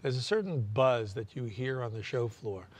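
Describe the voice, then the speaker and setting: A man, head of a trade association, giving measured interview answers with frequent "uh" pauses and a dry sense of humor. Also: mid-range voice, animated, darkish tone.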